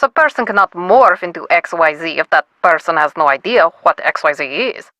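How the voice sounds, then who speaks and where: An imperious, self-important scholar in a mystery game. Her voice is bright and forceful at a middling pitch, clipped in delivery, with a very dry close sound. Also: no room sound. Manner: imperious, clipped, impatient lecturing. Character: brusque, self-important woman expert on lycanthropy. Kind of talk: scripted video game voice acting.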